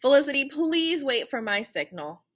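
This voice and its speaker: A woman's voice: high-pitched, sing-song, normal speed, fairly bright tone, voice pushed up. A woman voicing an exasperated storybook band teacher who dramatically scolds and coaxes young pupils. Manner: dramatic, put-upon, scolding and coaxing.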